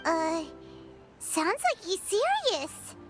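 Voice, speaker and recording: A squeaky, tiny childlike fairy. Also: female voice, very animated, extremely slow, music in the background, heavily acted. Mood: shocked, uneasy. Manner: squeaky, exclamatory. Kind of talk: anime-style video game voice acting.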